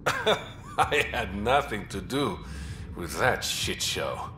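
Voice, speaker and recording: An actor playing a roguish, smooth-talking young smuggler and spy in a video game, wry, teasing and self-assured. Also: male voice, on the low side, sing-song, drawn-out words, sharp tone, roomy sound, slight background noise, full character voice. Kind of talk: video game character dialogue.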